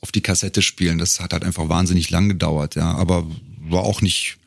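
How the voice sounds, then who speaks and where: A middle-aged man telling stories as an interview guest in a casual, wryly humorous way, often pausing to find his words. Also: low-pitched, fairly even intonation, vocal fry, slightly rough, no room sound. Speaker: podcast guest, a cappella singer and arranger.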